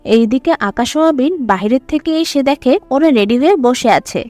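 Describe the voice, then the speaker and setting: High-pitched woman narrating a story. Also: expressive, normal speed, darkish tone, dry recording, soft background music.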